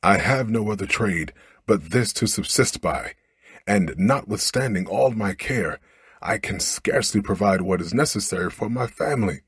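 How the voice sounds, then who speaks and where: A man's voice: lowish voice, expressive, moderate pace, a bit bright, breathy edge, dry recording, studio quality, exaggerated voice. A man voicing a poor storybook fisherman who speaks in archaic, plaintive and dramatic words. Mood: lamenting. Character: poor fisherman, lamenting and pleading, bold when startled. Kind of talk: storybook character read aloud.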